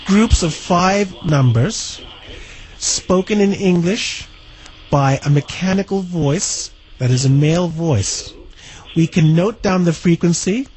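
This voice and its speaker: A man's voice: sits high, slow pace, a little mellow. A man, a radio enthusiast, giving spontaneous commentary. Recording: noisy shortwave static behind voice.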